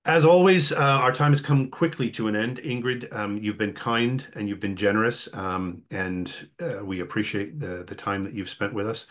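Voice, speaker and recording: A man hosting an online workshop in a conversational, halting way, often repeating words as he goes. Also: on the low side, fairly bright tone. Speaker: host.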